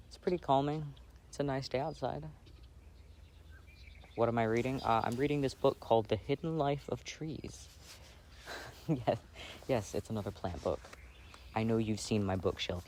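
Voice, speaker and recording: A man acting as a tender, shy boyfriend in an ASMR audio roleplay. His low voice is soft and full of creak, and he talks slowly with halting, self-doubting affection. Faint birdsong and outdoor sound sit behind him. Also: slight background noise. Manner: hesitant, halting, soft-spoken. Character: gentle, insecure, affectionate plant-loving boyfriend reading outdoors. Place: outdoors, sitting on grass. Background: faint birds and outdoor ambience.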